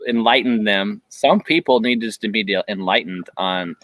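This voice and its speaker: A man's voice: fairly low pitch, normal speed, a bit bright, dry recording, rough recording. A man hosting an interview, affirming his guest and talking in a rambling way with frequent restarts. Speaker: podcast host. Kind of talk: conversational interview.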